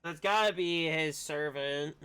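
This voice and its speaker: A man's voice: fairly high pitch, measured pace, pushed and bright. A man giving casual, rambling commentary as he streams a video game. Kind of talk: casual gameplay commentary, reading dialogue along.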